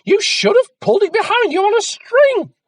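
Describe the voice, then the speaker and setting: A man voicing an angry, exasperated mother in a storybook, shouting scolding insults in a shrill screech. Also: lively intonation, moderate pace, no echo, studio quality.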